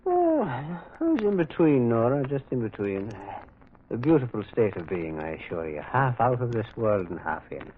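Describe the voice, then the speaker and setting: A gentle, musing priest detective played by a man in a vintage radio play. He ponders aloud with hesitant repeats and widely swinging pitch, on a narrow, hissy recording. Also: big pitch swings, normal speed, lightly acted. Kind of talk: old-time radio drama acting.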